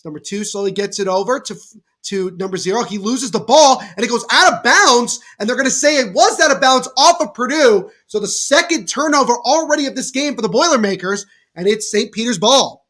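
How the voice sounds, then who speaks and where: Young man, stuffy nasal voice from a cold, bright tone, excitable play-by-play, louder on big plays. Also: very high voice, brisk. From New Jersey. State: congested from a cold. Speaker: livestream commentator. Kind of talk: play-by-play watchalong commentary.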